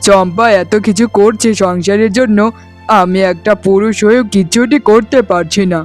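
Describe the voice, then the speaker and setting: A man's voice: high-pitched, moderate pace, faint music behind, natural voice. A man voicing a despondent, humble young village husband in a cartoon, with a plaintive manner. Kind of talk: Bengali moral-story cartoon voice acting.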